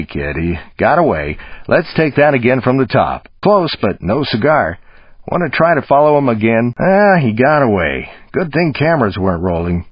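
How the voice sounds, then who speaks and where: A man playing a gruff, encouraging American movie stunt coordinator in a video game, folksy and streetwise, with a raspy voice. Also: mid-range voice, no room sound. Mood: wry, streetwise. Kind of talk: video game coaching dialogue. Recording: dry but dull lo-fi audio.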